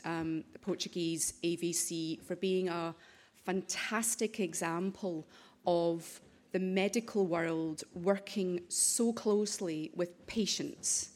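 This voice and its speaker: A woman with a Scottish accent giving a formal address to members of parliament, measured, with occasional ums and restarts. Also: on the low side, a little mellow. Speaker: conference speaker. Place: parliament session hall.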